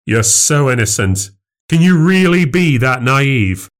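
A man's voice: medium pitch, sing-song, slow, pitched above the performer's own voice. Guy acting out a jealous husband in an audiobook, sarcastic and goading, voice pushed up. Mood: bitter, scornful. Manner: goading, sneering. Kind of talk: acted audiobook dialogue.

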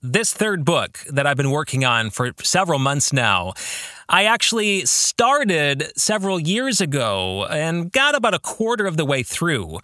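A man's voice: a little high, very animated, unhurried, sharp tone, no echo, clean studio sound. A man talking personally as a podcast host and author, earnest and leaning on key words for emphasis. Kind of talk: personal podcast talk about own writing.

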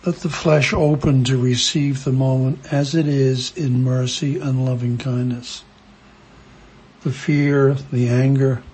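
A man with a very husky, breath-laden voice guides a meditation. He speaks very slowly and gently, in short hushed phrases. Long pauses separate each phrase from the next. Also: mid-range voice, rough recording.

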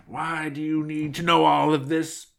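A man's voice: medium pitch, lively intonation, brisk, fairly bright tone, slight background noise, voice pushed up, natural voice. Man playing a bossy, scornful ten-year-old girl. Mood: suspicious, indignant. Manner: indignant, boastful. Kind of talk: satirical fairy-tale storytelling.

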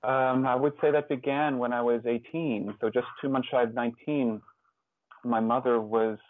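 A man being interviewed as a guest, answering reflectively in a halting, thoughtful way with pauses and repeated words. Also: mid-range voice, steady tone, phone-quality sound, a little hiss or hum. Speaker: interview guest, author and hospice volunteer.

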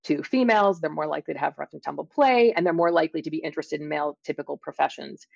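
A woman's voice: deep voice, racing, creaky, a little mellow, no room sound, lo-fi sound. A woman speaking as an expert guest in an interview, explaining science carefully and precisely with qualifications. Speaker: guest, evolutionary biologist and author.

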